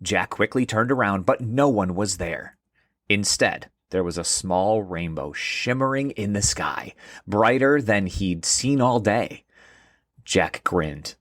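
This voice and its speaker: A male narrator reads a scripted story for children with expressive, swooping delivery. His voice sits a little low and has a husky grain. The sound is very dry, with no room around it. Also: slow, dry recording. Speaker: host and narrator.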